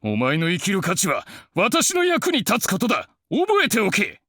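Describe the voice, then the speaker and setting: High, bombastic domineering boss. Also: male voice, wildly swooping pitch, fast talker, pushed and bright, no echo, studio quality, heavily acted. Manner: imperious, blustering. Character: haughty, self-centered, domineering male company boss. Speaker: voice actor in a visual novel. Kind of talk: Japanese visual novel acting. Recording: music behind the voice.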